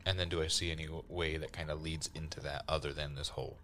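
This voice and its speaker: A man's voice: very deep voice, level tone, quick pace, bright, forceful tone, a little hiss or hum. A man playing a tabletop role-playing game, talking casually and tentatively as he thinks his moves through aloud.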